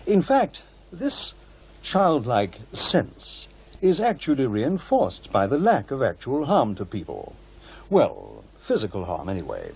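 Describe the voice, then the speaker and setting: A man playing a shrewd, proud old professor who investigates ghosts in an audio drama, speaking in a measured, pedantic, testy way. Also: medium pitch, wildly swooping pitch, vocal fry, darkish tone, breathy edge, exaggerated voice. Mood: measured, assured. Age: older. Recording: faint background noise.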